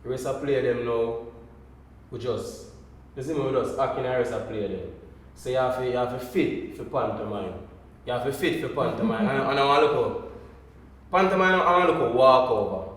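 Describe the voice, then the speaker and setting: A Jamaican man chatting casually in Patois as a performer on a group podcast, telling things in an animated, repetitive way. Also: medium pitch, fairly quick. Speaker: pantomime performer, podcast guest. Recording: echoey room, faint noise.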